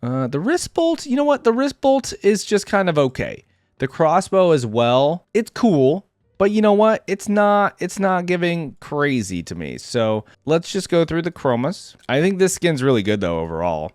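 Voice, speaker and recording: A man's voice: medium pitch, clear, resonant tone, no room sound, clean studio sound. A man giving casual, off-the-cuff reaction commentary as a video game host, rambling a little as he thinks aloud.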